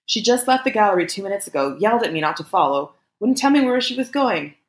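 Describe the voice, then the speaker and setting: A woman's voice: mid-range voice, very animated, fast talker, reverberant, voice pushed up, close to an everyday voice. A woman voicing a desperate, apologetic male lover who pleads urgently, as in an audiobook. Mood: desperate, pleading.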